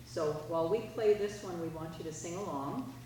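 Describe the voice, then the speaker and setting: A low-pitched woman introduces music informally, in a conversational, halting way. She is picked up from well away in a big, very reverberant room with clear background noise. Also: warm tone. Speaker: musician introducing her group's tunes. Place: large indoor library art gallery. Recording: distant, noisy, very echoey gallery pickup.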